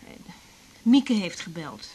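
An actress playing a brisk, troubled woman in a naturalistic radio drama, conversational and sometimes murmuring. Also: fairly low pitch, very animated, dark tone, rough recording. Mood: troubled, subdued. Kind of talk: naturalistic 1980s Dutch radio drama acting. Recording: faint tape hiss.

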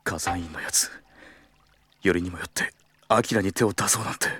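A man acting a protective, hot-tempered senior colleague in an audio drama, firm and formal in confrontation, otherwise blunt and rough. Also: sits low, normal speed, bright, forceful tone, no room sound, heavily acted. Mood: indignant, protective.

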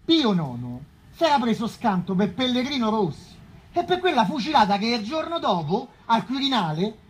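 An actor playing an animated Roman chronicler, declaiming history in theatrical dialect. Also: mid-range voice, normal speed, breathy edge. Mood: declamatory.

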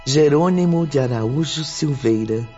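A Brazilian man narrating a radio drama as an expressive, literary storyteller. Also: fairly high pitch, measured pace, lightly acted. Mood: solemn storytelling. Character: male storyteller narrating a spiritual tale. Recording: dull lo-fi radio drama audio. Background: music behind the voice.

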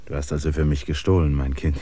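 An actor in a German radio drama playing a restless, stubborn outsider with a theatrical, intense delivery. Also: male voice, very deep voice, level tone, fast, dark tone. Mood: wry, tender. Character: restless, stubborn outsider man, anxiously waiting, bitterly proud.

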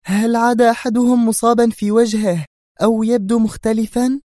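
A man voicing a nervous, sharp-tongued woman spy in an audiobook, speaking in a high, strained and urgent voice. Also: fairly even intonation, moderate pace, dry recording, studio quality. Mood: agitated. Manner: urgent, agitated. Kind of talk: audiobook character reading.

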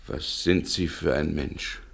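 An actor playing a bewildered, guilt-stricken man in a 1960s German radio drama, with a gravelly, husky voice. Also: extremely low pitch, steady tone, slow, heavily acted. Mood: stunned.

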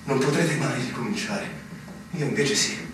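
A man's voice: medium pitch, natural voice. Earnest young officer, male actor, solemn radio theatre delivery, recorded from a distance with faint hiss. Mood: solemn farewell. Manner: solemn, literary, measured. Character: melancholy, earnest young male army lieutenant. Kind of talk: theatrical radio drama acting. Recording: very echoey stage, distant mic, hiss.

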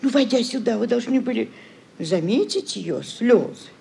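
A woman's voice: a little high, animated, moderate pace, creaky, a little mellow, a little hiss or hum. An actress playing a scheming, sugary middle-aged society mother in a classic radio play, cajoling and declaiming theatrically. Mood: dramatic self-justifying. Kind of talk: classic Russian literary radio play acting.

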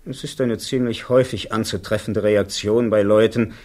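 An actor playing a blunt, confident army security officer who testifies in clipped, matter-of-fact answers in a radio play. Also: male voice, fairly low pitch, steady tone, normal speed, a little mellow, lightly acted. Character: blunt, self-assured male army colonel, security officer.

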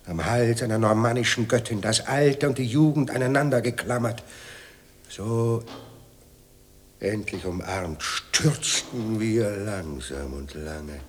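A man's voice: lowish voice, a little hiss or hum, lightly acted. A man in a German radio drama playing an ageing drunken writer, bitter and self-mocking, theatrical, moving between muttering and outbursts.

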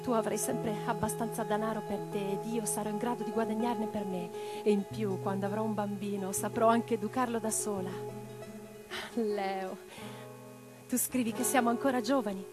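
A woman's voice: mid-range voice, fairly even intonation, normal speed, lightly acted. An actress playing an impassioned revolutionary woman in a radio play, declaiming with theatrical intensity. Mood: earnest, intimate. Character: impassioned revolutionary woman orator and letter-writer. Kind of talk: theatrical dramatic monologue. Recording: guitar music behind the voice. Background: plucked guitar music.

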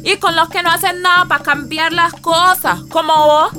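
Bright, very high-voiced fiery activist. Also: female voice, steady tone, normal speed. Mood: defiant. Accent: Colombian. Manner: emphatic, plucky. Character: defiant, fiery woman community activist. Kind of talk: radio drama acting. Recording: music under the dialogue.

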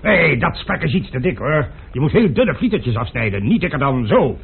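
An actor playing an unflappable, food-loving manservant with a fussy, deferential way of talking, in old Dutch radio drama style. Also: male voice, a little high, normal speed, gravelly. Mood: fussy, at ease. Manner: fussy, expressive, obsequious to his master. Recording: tinny, noisy old radio play recording.

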